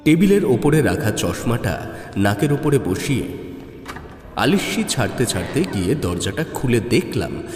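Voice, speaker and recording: Male horror-story narrator, expressive and measured, animated pitch, long reverb with music beneath. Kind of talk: dramatic first-person horror story narration. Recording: echoey reverb, music underneath.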